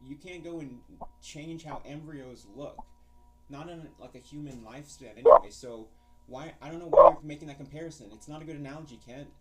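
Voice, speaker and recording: A man's voice: fairly high pitch, brisk, a bit bright, soft background music, low-quality recording. A man in an argumentative phone debate, pressing his questions persistently and challenging the other side.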